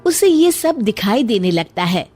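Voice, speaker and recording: A woman narrating a children's cartoon story in a steady storyteller's manner. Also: a little high, a little slow, husky, soft background music.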